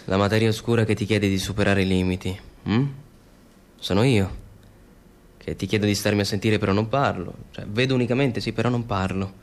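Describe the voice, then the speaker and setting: A man's voice: on the low side, fairly even intonation, fairly quick, lightly acted. An actor playing a blunt, guarded male escort in a radio drama, with a terse, matter-of-fact delivery. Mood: musing. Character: blunt, guarded male escort humouring his talkative client. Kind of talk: naturalistic radio drama dialogue.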